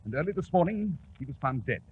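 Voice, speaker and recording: An actor playing an incisive, self-assured British detective in precise, authoritative 1940s radio drama style. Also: male voice, a little high, big pitch swings, fast. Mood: grave, precise. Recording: scratchy 1940s radio broadcast, narrow band.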